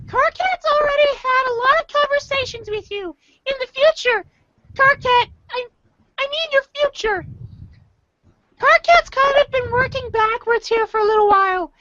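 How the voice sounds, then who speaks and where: A woman's voice: very high voice, moderate pace, pushed and bright. A woman voicing an irate, condescending, foul-mouthed young male alien troll in an amateur reader's theater, ranting in exasperation. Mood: exasperated ranting. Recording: dull lo-fi home recording, faint noise.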